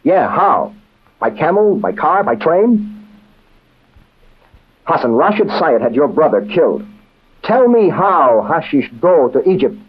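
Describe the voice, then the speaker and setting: Hard-boiled American adventurer, animated delivery. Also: male voice, a little high, a little slow, long pauses, breathy edge, exaggerated voice. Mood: tense, probing. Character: wry, determined American adventurer narrating his own story. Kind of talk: 1950s radio drama acting and narration. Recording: muffled, hissy old radio recording.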